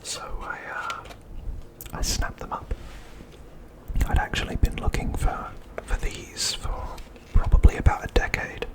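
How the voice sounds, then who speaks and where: An ASMR host giving an unscripted show-and-tell commentary in a breathy, unvoiced whisper, hushed and unhurried.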